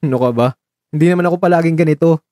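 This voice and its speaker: Caring young man in love, acted by a man, radio drama, dark mellow tone, dry close sound, coaxing, tender. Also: a little high, racing, dry recording, voice pushed up, lightly acted. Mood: affectionate, earnest. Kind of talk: Tagalog romantic radio drama dialogue.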